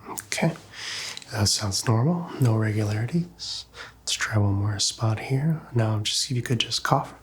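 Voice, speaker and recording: A man softly whispering as a calm doctor in an ASMR roleplay, talking gently and reassuringly to the listener as a patient. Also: fairly low pitch, a little slow, slight background noise. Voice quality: hushed, whispery, breathy.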